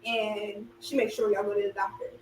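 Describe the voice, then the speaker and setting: A woman's voice: medium pitch, flat delivery, brisk. A young woman co-hosting a casual podcast chat, inquisitive and teasing, reading out listener questions. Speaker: podcast co-host. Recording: roomy echo, far from mic, faint hum.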